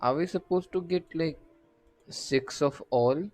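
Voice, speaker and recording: A man doing casual live game commentary, halting and muttering to himself as he streams. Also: on the high side, darkish tone, faint music behind.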